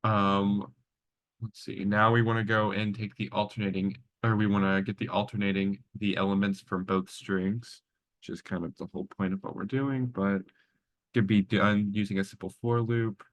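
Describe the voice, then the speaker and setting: A young man getting over a cold, talking through a coding test in a halting, self-correcting way. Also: on the low side, level tone, no room sound. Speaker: student solving a coding test. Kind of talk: think-aloud coding walkthrough.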